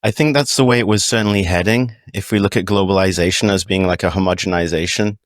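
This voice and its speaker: A man's voice: sits low, quick pace, no echo, studio quality. A man with a British accent, speaking as a podcast guest in a casual, reflective, explanatory way with long meandering sentences. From United Kingdom. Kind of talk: casual interview talk, explanatory.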